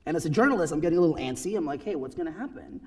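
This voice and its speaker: Husky earnest man from the Philippines. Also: fairly high pitch, lively intonation, very fast, darkish tone. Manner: earnest, emphatic, rhetorical "right?" asides. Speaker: speaker giving a talk. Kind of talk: personal talk to an audience.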